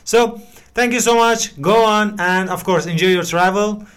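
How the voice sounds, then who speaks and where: Encouraging young man, smooth voice. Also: high voice, normal speed, fairly bright tone. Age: 20s. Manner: encouraging, hesitant with many fillers and restarts. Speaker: English learner giving feedback. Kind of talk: casual voice message.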